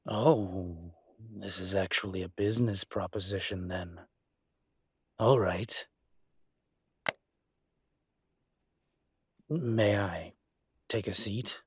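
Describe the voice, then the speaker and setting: Man playing a slow, low, suave mafia boss. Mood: businesslike, curious. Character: suave, teasing male dragon mafia boss. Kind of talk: scripted romantic audio roleplay.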